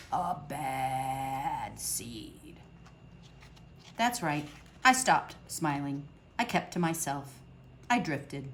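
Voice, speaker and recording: A woman reading a picture book aloud to children at storytime, expressive, with dramatic pauses. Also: extremely low pitch, gravelly, slight background noise.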